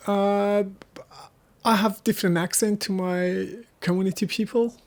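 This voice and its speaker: A man from Afghanistan with an Afghan accent and Hazaragi as his first language, answering casually in halting, searching English. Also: high voice, steady tone. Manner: halting, searching for words in non-native English. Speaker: interviewee. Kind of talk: casual interview conversation.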